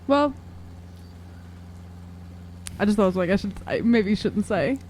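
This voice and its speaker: A woman's voice: mid-range voice, slow, darkish tone. A woman playing a tabletop role-playing game, speaking casually and conversationally. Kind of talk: casual chat during game play. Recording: faint background noise.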